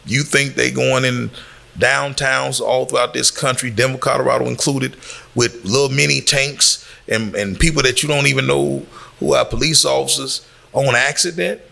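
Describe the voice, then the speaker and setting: A man's voice: mid-range voice, steady tone, normal speed, creaky, sharp tone. An American man giving an informal, admonishing exhortation as a community speaker, emphatic and fond of rhetorical questions.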